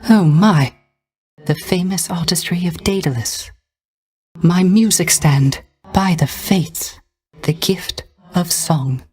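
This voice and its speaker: A gentle, sorrow-tinged male musician from a video game. The voice is light and rather high for a man, delivered softly and with genteel care. Every phrase is formal, theatrical and ornately polite, carrying a wistful devotion. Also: takes it slowly, long pauses, slightly rough, heavily acted. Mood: wistful wonder. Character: gentle, melancholy court musician, devoted and courteous.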